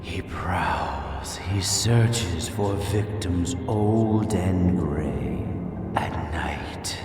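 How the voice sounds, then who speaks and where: A man playing a menacing supernatural fog in a horror audio drama, reciting slowly and methodically in an airy, cunning voice with heavy reverb. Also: low-pitched. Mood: ominous warning. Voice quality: airy, breathy. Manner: slow, methodical, ominous recitation. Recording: cavernous long reverb, distant, music behind.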